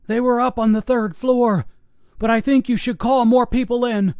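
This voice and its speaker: A man voicing a panicked old security guard who shouts and swears in a high, strained voice. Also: fairly even intonation, moderate pace, dry recording, clean studio sound. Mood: frantic.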